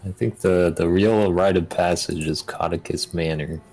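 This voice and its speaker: A man's voice: deep voice, steady tone, normal speed, gravelly, a little mellow. A young man chatting casually as a co-player in a let's play. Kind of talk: casual chat while gaming.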